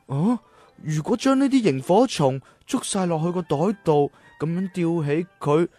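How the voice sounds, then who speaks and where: Children's radio play: humble, earnest young male scholar played by an actor, courteous, easily puzzled, medium pitch, dry sound. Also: expressive, unhurried, dark tone, dry recording, faint music behind. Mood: polite, curious. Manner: earnest, polite, slightly bewildered. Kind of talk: children's radio drama acting.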